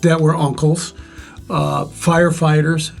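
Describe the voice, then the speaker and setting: An older man with a relatively loud voice, reflectively sharing life stories and advice in measured, pause-filled speech. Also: on the high side, little pitch movement, vocal fry, soft background music. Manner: measured, pausing often with "uh". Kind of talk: reflective conversational storytelling.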